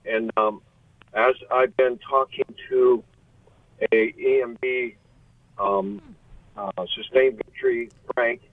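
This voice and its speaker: A man's voice: mid-range voice, fairly even intonation, slow pace, pauses often, no echo. Late-sixties male caller on a car phone, candid, rambling delivery, tinny dry line. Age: 60s. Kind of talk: call-in radio chat. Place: in a car pulled over.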